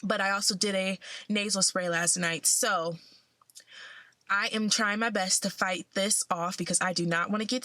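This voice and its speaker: A woman's voice: medium pitch, pushed and bright, no echo. A young woman who sounds a bit congested, talking in a casual, upbeat and encouraging way to her vlog followers. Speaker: vlogger.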